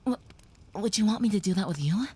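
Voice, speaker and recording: A woman voicing a gentle young female vampire who soothes a human listener, speaking softly and often in a whisper, in an audio roleplay. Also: mid-range voice, animated, a little fast, slight background noise, natural voice. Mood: soothing.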